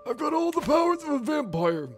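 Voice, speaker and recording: An elderly male vampire with an extremely high, squeaky falsetto. The old creature whines comically, voice swooping about, with faint music behind it. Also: sing-song, fairly quick. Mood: comic complaint. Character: whiny geriatric male vampire, comically complaining.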